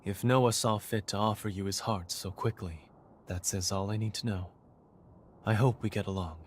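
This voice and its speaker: A male actor playing a composed, courteous institute leader in a video game cutscene, speaking in formal, measured, eloquent phrases. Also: on the low side, steady tone, slight background noise, close to an everyday voice. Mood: calm, polite. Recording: game audio with background music.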